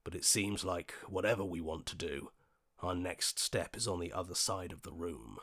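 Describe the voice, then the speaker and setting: A man voicing a level-headed, questioning hero in a video game, speaking earnestly in short deliberate lines. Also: sits low, fairly bright tone. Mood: pondering.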